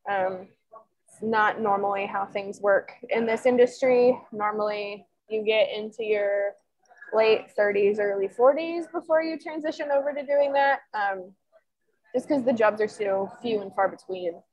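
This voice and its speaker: A woman's voice: mid-range voice, measured pace, poor audio. A woman in her twenties, a sports columnist, answering interview questions in a chatty, rambling way full of fillers. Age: 20s.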